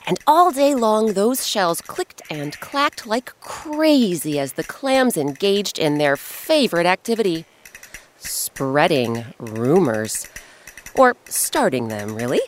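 A woman hosting and narrating a scripted children's story with expressive, emphatic delivery and dramatic pauses. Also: on the low side, slight background noise. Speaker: host and narrator. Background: music.

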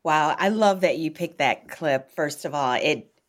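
Lower-pitched American woman answering interview questions. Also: unhurried. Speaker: interview guest, nonprofit executive director.

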